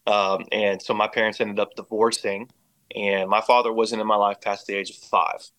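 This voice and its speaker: An American man shares his personal story as an interview guest. His voice sits fairly low and stays very even, with little rise and fall. He speaks earnestly and reflectively. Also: normal speed. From Indiana and Oklahoma, United States. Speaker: podcast guest.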